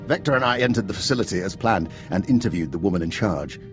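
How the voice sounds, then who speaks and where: An actor playing a composed, calculating, self-serving older scientist who speaks formally and precisely in a video game. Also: male voice, low voice, animated, fast, music in the background. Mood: smooth, calculating.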